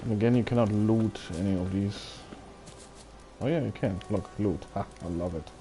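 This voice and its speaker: Low-voiced man playing a video game. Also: moderate pace, dark tone, soft background music. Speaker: gamer playing and commenting. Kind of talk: casual let's play commentary, reading on-screen text aloud.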